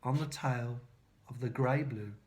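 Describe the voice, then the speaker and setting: Male children's storyteller, medium pitch, expressive rhyming read-aloud, deliberate dramatic phrasing. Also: a little hiss or hum.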